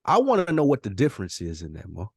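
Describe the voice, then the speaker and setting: A man's voice: lowish voice, wildly swooping pitch, quick pace, vocal fry, breathy edge, no echo, clean studio sound. A man hosting a casual podcast conversation, speaking in slang and repeating phrases for emphasis. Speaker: podcast host.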